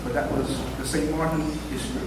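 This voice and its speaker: A man's voice: medium pitch, steady tone, brisk. Man, rambling event speech, far from the mic, very echoey hall, background noise. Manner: rambling, self-deprecating, with many ums. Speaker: event speaker. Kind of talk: informal speech at a brunch event.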